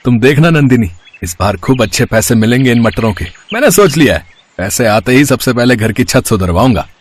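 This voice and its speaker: A man's voice: mid-range voice, lively intonation, a little fast, slightly rough. A man playing a kind, earnest poor village farmer and husband in a moral-story cartoon. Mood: hopeful, pleased.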